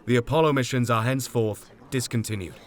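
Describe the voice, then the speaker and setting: A man's voice: mid-range voice, quick pace, lightly acted. An actor playing a stern, authoritative male mission commander in an audio drama. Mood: stern, resigned.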